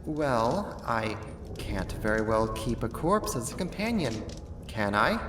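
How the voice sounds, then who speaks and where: A voice actor playing a sadistic, haughty half-spider monster in an ASMR horror roleplay, talking slowly and condescendingly in a theatrical way. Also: medium pitch, sing-song, background noise. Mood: smug, possessive.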